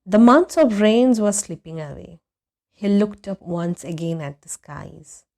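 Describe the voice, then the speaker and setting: Slow, expressive woman storyteller. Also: medium pitch. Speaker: audiobook reader. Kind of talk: expressive scripted story narration.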